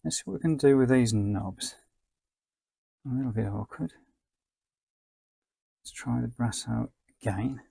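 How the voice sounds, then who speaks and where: A man giving a quiet, casual hands-on ASMR demonstration, murmuring to himself as he works and at times nearly whispering. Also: medium pitch, measured pace, vocal fry, pauses often, husky. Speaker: presenter of a restoration demonstration.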